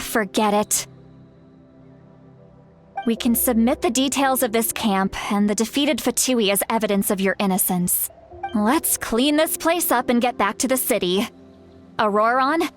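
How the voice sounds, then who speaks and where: An actress plays a young-looking woman who acts as a strict elder guardian. Her voice is high and she scolds with exasperation in video game style. Light music sits behind her. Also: normal speed, lightly acted. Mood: stern.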